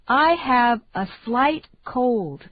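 A woman reading English phrases slowly and deliberately for learners to repeat. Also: on the high side, expressive, breathy edge, no echo. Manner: slow, deliberate, carefully enunciated. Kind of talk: slow repeat-after-me drill. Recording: dry, tinny phone-like sound.

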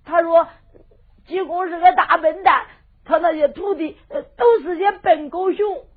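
A woman's voice: very high-pitched, a little slow. A woman voicing a small, tearful young male apprentice in a folk storytelling performance, pleading through sobs. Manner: sobbing, pleading delivery. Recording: lo-fi phone-like sound.

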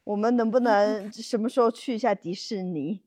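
A young woman with an average-pitched voice chats with friends. Her delivery is informal and conversational, as on a casual podcast. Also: moderate pace, mellow.

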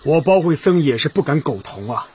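Radio drama objector: contrary, declamatory, high raspy voice, animated. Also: male voice, a little fast. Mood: indignant. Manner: protesting, declamatory. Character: indignant, contrary male congress delegate. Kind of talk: Chinese historical radio drama acting.

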